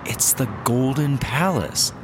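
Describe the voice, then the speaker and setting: A man's voice: medium pitch, expressive, takes it slowly. A man playing a laid-back, perk-loving startup slacker who is eager to please, in a comedy audio drama. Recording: background ambience and noticeable noise.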